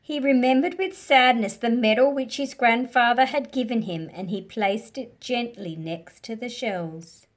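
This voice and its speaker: A woman reading a children's storybook aloud in an expressive, deliberate storyteller's manner. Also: a little high. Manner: slow, deliberate, animated delivery for young listeners.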